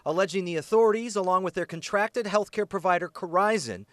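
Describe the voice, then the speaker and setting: An American man reporting investigative news in a scripted, emphatic and measured broadcast delivery. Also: sits high, expressive, a bit bright, dry recording.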